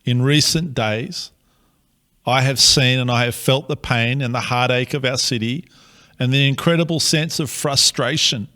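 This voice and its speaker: A man with an Australian accent, a pastor giving a slow, earnest, measured address to his city. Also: mid-range voice, fairly even intonation, pushed and bright.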